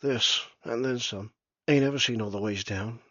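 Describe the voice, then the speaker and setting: A man's voice: mid-range voice, moderate pace, fairly bright tone, dry recording, lo-fi sound, pitched above the performer's own voice, close to an everyday voice. A man voicing an older, plainspoken male ferryman who is wary, with a folksy rural drawl and loose grammar. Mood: wistful, guarded.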